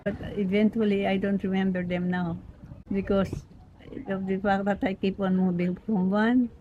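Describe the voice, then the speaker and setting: A woman in her nineties from the Philippines, speaking with a Filipino accent and Pampango as her first language, rambling gently and laughing easily as she recalls her life. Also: medium pitch, level tone, moderate pace. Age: 90s. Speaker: interviewee. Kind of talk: reminiscing in casual chat. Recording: lo-fi with background noise.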